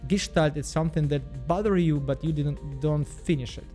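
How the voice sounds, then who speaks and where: Halting Belarusian man. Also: a little high, mellow, soft background music. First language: Russian. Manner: halting, repeats words, frequent fillers. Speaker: vlogger. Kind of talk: casual self-help vlog monologue.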